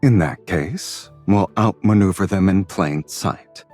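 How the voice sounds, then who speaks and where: A man playing a suave, teasing, self-assured love interest in a video game, speaking in a smooth, wry manner. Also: sits low, slow, a little mellow, soft background music. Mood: calm, scheming. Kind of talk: video game cutscene voice acting.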